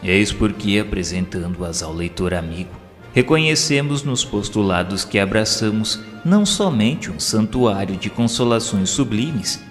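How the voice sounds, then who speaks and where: A Brazilian man reading solemn scripted religious narration in a formal, measured, oratorical way. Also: fairly low pitch. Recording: long hall reverb, music underneath. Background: background music.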